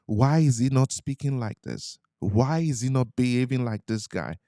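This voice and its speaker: An animated man, a Yoruba speaker, chats on a relationship podcast. He acts out little quoted voices and laughs between points, at a middling pitch. The sound is dry and close, with no room echo. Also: normal speed. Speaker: podcast co-host. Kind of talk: casual chat.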